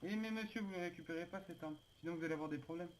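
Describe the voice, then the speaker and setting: Fast-talking, bossy prison officer, played by a man. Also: a little high, close to an everyday voice, poor audio. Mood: brisk, bossy. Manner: brisk, ordering people around. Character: brisk male prison officer, bossy, casually menacing. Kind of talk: improvised online roleplay in a video game. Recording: faint background noise on game voice chat.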